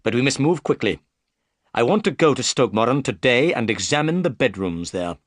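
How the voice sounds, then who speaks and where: A man voicing a calm, shrewd male detective who speaks in a measured, firm way. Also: mid-range voice, dry recording, studio quality, natural voice.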